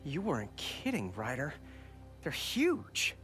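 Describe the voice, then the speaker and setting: A young man playing an eager, excitable teenage boy sidekick in a video game. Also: mid-range voice, slow pace, full character voice. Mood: excited. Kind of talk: video game cutscene acting. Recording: music under the voice.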